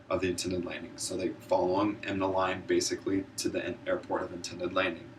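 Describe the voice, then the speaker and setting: Male speaker, deep voice, informative presentation, earnest, occasional stumbles, distant mic, light background noise. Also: a bit bright. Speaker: student public speaker, military air traffic controller. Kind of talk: informative speech, prepared presentation. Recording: distant mic, noisy room ambience.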